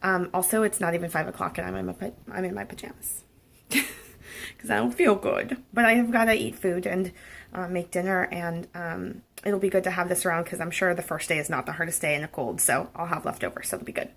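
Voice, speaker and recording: A woman's voice: fairly low pitch, brisk, gravelly, a bit bright. Chatty woman with a cold. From Oregon. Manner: chatty, rambling with many ums. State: has a cold. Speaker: fitness vlogger. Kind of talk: casual cooking vlog. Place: home kitchen.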